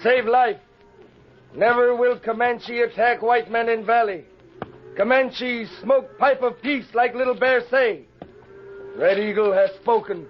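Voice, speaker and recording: An actor playing a solemn, dignified Comanche chief who talks in stately broken English in a radio western. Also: male voice, very high-pitched, unhurried, faint music behind. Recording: muffled old radio recording.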